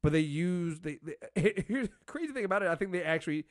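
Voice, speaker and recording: This is a man with a slightly high voice, recorded very dry. He hosts a podcast and talks in a casual, opinionated way. He leans on his points emphatically and doubles back to repeat phrases as he goes. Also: lively intonation, fast talker, dry recording. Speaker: podcast host reviewing a TV show.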